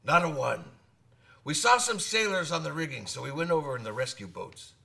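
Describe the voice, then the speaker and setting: A man's voice: a little high, animated, a little fast, gravelly, sharp tone, heavily acted. An actor in a radio drama playing a hearty old one-eyed sailor who spins boastful yarns in folksy nautical talk, repeating his phrases. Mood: grim, storytelling. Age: older.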